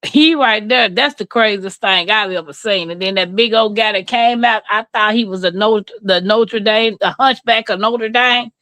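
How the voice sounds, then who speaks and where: A woman's voice: medium pitch, moderate pace, hardly any pauses, bright, forceful tone, no room sound. A middle-aged woman with a Southern US accent, chatting casually and candidly with livestream viewers in a folksy way. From Mississippi, rural southern United States. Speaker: livestream host.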